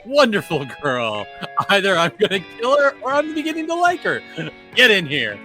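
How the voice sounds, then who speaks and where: Man playing a brash, high-pitched sarcastic space smuggler. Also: huge pitch swings, moderate pace, pushed and bright, faint music behind, heavily acted. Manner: sardonic, blustery. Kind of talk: comedic table read of a film script.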